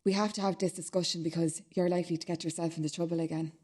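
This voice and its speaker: A woman's voice: lowish voice, steady tone, rapid-fire, darkish tone, slightly rough. An actress playing an insistent younger sister in a radio play, stubbornly repeating herself as she argues. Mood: accusing. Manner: stubborn, repetitive, argumentative. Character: insistent, nagging younger sister confronting her brother.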